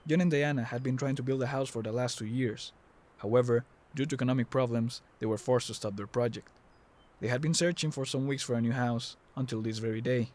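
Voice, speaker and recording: A man's voice: medium pitch, fairly even intonation, fairly quick, darkish tone. A man narrating an audio drama in a scripted storytelling style.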